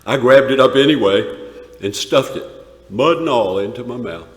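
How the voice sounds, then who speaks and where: A man performing a dramatic monologue as a rough, awestruck shepherd recalling a wondrous night, with emphatic pauses. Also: mid-range voice, natural voice. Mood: vivid recollection. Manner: emphatic, dramatic pauses, swells from hushed to shouted. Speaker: dramatic reader. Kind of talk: theatrical dramatic monologue reading. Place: large church, live performance. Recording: echoey church hall, long reverb.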